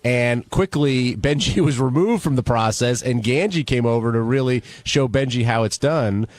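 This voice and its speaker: Male radio host, medium pitch, animated delivery, questioning, dry close studio sound. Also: moderate pace, no room sound. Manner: probing, questioning. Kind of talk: casual interviewing banter.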